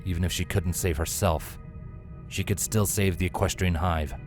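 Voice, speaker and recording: A man narrates a fantasy story in a deep voice. His delivery is measured and solemn, like scripted dramatic fiction reading. Light music runs behind him. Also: steady tone. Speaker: narrator, fan fiction reader.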